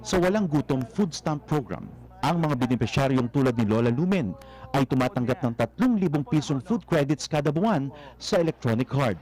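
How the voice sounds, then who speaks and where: Filipino guy reading a scripted news feature, really animated pitch swings. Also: moderate pace, rough recording. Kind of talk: scripted narration.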